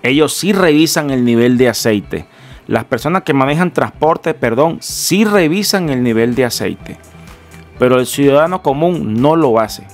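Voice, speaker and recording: A man's voice: mid-range voice, lively intonation, normal speed, soft background music. A middle-aged Venezuelan man, a mechanic, giving an earnest, emphatic car-care lesson in a Venezuelan Spanish accent and urging viewers directly. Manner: earnest, emphatic, repeatedly addressing viewers as gentlemen. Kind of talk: instructional workshop talk. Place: small home room, indoors. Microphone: headset.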